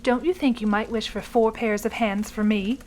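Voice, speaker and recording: A woman voicing a sensible, soothing old wife and mother in a storybook reading, fussing and gently skeptical. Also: a little high, fairly even intonation, normal speed, a little hiss or hum, natural voice. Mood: concerned.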